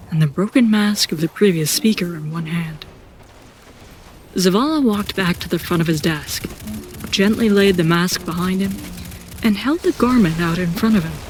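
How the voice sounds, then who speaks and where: A woman playing an introspective seasoned warrior who narrates her own story in an audio drama, reflective and deliberate. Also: lowish voice, a little hiss or hum, close to an everyday voice. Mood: pensive. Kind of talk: first-person scripted audio drama narration.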